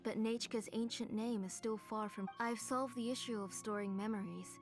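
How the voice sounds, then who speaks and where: A woman voicing a gentle, devoted but ailing mother in a video game, speaking earnestly and with measure over music. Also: fairly high pitch, steady tone, normal speed, natural voice. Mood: weary, sorrowful.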